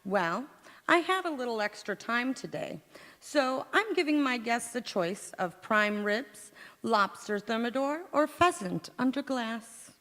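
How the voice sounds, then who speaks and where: A woman's voice: on the high side, expressive, unhurried, fairly bright tone, in a large hall. A woman playing a flustered, exclamatory 1940s housewife in a radio sitcom, performed live on stage. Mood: breezy, smug. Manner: theatrical, exclamatory.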